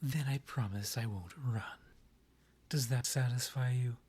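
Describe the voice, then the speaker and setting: Man playing a breathy, slow-spoken, proud vampire king. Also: medium pitch, a little mellow. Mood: stiff, defensive. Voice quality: breathy, often near a whisper. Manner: formal, courtly, halting when flustered. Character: proud, guarded vampire king still in love with ex. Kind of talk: romantic ASMR audio roleplay.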